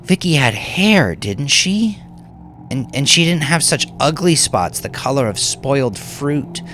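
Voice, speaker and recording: A man narrating dramatic horror fiction in a hushed, intense way. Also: medium pitch, very animated, a little slow. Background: music under the voice.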